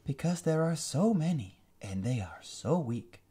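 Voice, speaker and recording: A man's voice: on the high side, sing-song, unhurried, warm tone, slightly rough, voice pushed up, exaggerated voice. A man voicing a gloating evil alien warlord who sneers and draws out his words in a mock-British manner. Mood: sneering.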